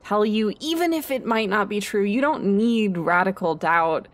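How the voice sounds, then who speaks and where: A woman improvising a comedic game fandub as a blasé young woman who rambles in a casual, deadpan way. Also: mid-range voice, normal speed, close to an everyday voice. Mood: philosophical, deadpan. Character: blasé, sardonic young woman, superhero's philosophizing friend.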